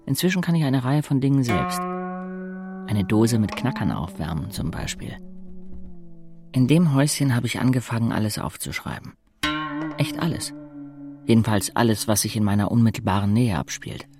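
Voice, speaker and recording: An actor playing an introspective, wry teenage boy who narrates his own life in a measured, literary audio drama style. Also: mid-range voice, big pitch swings. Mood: reflective. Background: music.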